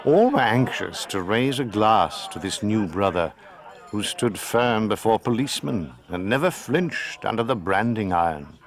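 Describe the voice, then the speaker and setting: A grave male narrator tells a story in a radio drama. His low voice moves slowly but with lively inflection, and a crowd murmurs behind it. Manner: measured, storytelling.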